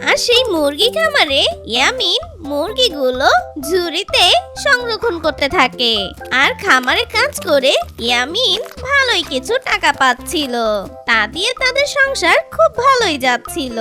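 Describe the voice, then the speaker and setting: Super high voice narrating a kids story, very sing-song up n down, music behind. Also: big pitch swings, measured pace, pushed and bright. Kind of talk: scripted children's story narration.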